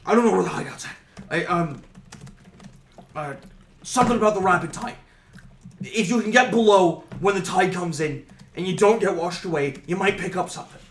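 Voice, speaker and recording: A rowdy, hot-headed brawler speaks in a loud, hurried burst, as a man puts him on. The voice is slightly high for a man. The sound is roomy and recorded from a distance. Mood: urgent. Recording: roomy, distant, faint noise.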